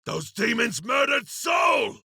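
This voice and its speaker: A man voicing a battle-hungry iron berserker for a video game, gruff and cocky, with rough, forceful barks. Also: very high voice, sing-song, takes it slowly, dry recording, studio quality, exaggerated voice. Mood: urgent. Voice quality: gravelly, rough.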